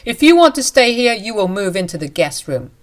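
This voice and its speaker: A woman voicing a loud, domineering husband. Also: medium pitch, sing-song, brisk, natural voice. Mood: demanding. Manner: loud and demanding. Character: angry domineering husband, entitled and scornful.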